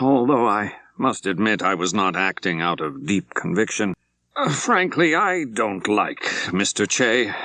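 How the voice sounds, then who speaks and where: A careful, troubled male bishop, played by a male narrator speaks in a lowish voice with a dry, close sound. His phrasing is formal, deliberate and a little hesitant, as in an audiobook mystery. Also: fairly bright tone, dry recording.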